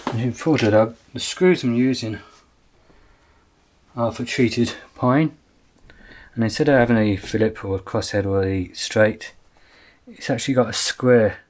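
A man's voice: medium pitch, unhurried, pauses often, a little mellow. A man in his fifties with an Australian accent, narrating casually as he builds storage in a small workshop, practical and thinking aloud. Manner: practical, matter-of-fact, thinking aloud while working. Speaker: outdoors channel host.

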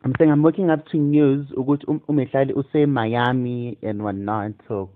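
South African male, mid-pitched, chatting casually in English and Zulu over a thin phone line. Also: steady tone, moderate pace. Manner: code-switches between English and Zulu. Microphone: telephone line. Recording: tinny, narrow phone-call recording.

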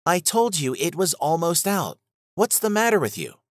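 A man voicing a stubborn, easily annoyed young bear in an animated, defensive children's storybook style. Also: on the high side, moderate pace, dry recording, studio quality, voice pushed up.

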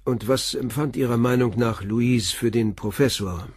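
An actor playing a calm police inspector who asks short, probing questions in a radio drama. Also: male voice, mid-range voice, normal speed, a little hiss or hum, natural voice. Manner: brief, quiet, probing questions. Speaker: detective questioning a witness.

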